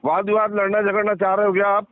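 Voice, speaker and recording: A man's voice: high-pitched, monotone, quick pace. A man from India arguing on a phone call in a defensive, guarded, repetitive manner. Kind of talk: argumentative phone talk. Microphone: phone line. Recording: tinny echoey phone call.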